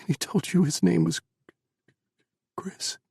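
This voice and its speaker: A man's voice: medium pitch, moderate pace, vocal fry, slightly rough, no echo, clean studio sound. A man voicing a desperate young widower. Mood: pleading. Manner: pleading, breaking into sobbing shouts.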